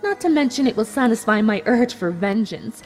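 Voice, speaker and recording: A woman's voice: fairly high pitch, lively intonation, fairly quick, a little mellow, soft background music, lightly acted. A woman voicing a sadistic, vengeful female unicorn villain in a calculating, scornful manner in an audio fan drama. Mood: cold, scornful.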